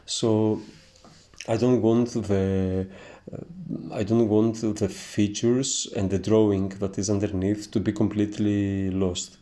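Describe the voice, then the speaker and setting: A man with a thick Greek accent teaching painting, talking gently through his steps with many hesitant fillers. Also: fairly low pitch, flat delivery, slow pace. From Greece. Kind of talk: relaxed narrated painting tutorial.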